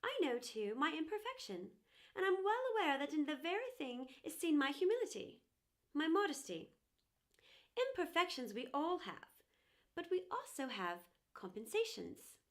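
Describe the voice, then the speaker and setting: A high-pitched, self-important porcelain teapot with a wistful streak, voiced by a woman. It tells its life story in a grand, emphatic storybook manner meant for children. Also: animated, a little slow, a bit bright, exaggerated voice. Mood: proud, self-important. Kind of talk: children's storybook character narration.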